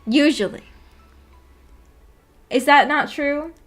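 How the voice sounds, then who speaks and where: A woman with a fairly high voice chats casually as a livestream host. She is giggly and playfully teasing, quick to soften things with an apology. Her talk rambles and halts, sprinkled with "like" as she thinks aloud. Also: faint music behind. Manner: rambling, halting, filler-heavy talk with frequent "like". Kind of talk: casual livestream chat with viewers about anime.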